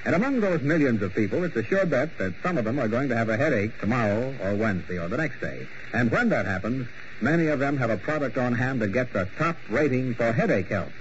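A man's voice: medium pitch, lively intonation, brisk. A man hosting a 1940s radio mystery show in a sly, mocking manner full of grim jokes. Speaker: program host and announcer. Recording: muffled old radio broadcast with faint hiss.

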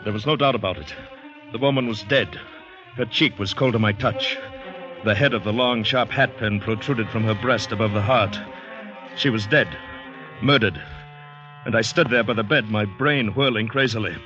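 Man acting a haunted, low-voiced fugitive in old radio drama. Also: normal speed, close to an everyday voice. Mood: stunned, grim. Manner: dramatic, haunted, urgent delivery. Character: terrified, shell-shocked man fleeing a murder scene. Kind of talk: 1940s-50s radio drama acting, first-person narration. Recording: dull vintage radio recording, music behind.

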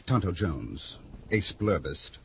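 An actor playing a smooth, ingratiating publisher in an old radio drama, fussy and evasive. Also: male voice, sits low, very animated, slow, slight background noise, full character voice. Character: oily, greedy middle-aged male publisher. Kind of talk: 1950s radio drama acting.

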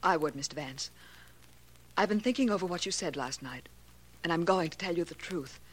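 A woman's voice: lowish voice, normal speed, husky. An actress playing a proud, guarded female scientist, clipped and defensive, in a 1940s radio drama. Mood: confessing, earnest.

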